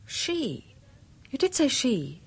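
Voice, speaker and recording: Polite british housewife in a radio play, chatty n eager, husky voice, really up and down. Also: female voice, sits high, huge pitch swings, takes it slowly, exaggerated voice. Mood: curious. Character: polite, chatty married newcomer woman, eager and puzzled. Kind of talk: radio drama acting.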